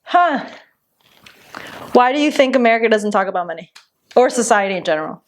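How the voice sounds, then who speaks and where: Woman, high voice, upbeat presenter, podcast host intro. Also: lively intonation, brisk, a little hiss or hum. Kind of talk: show introduction and casual chat.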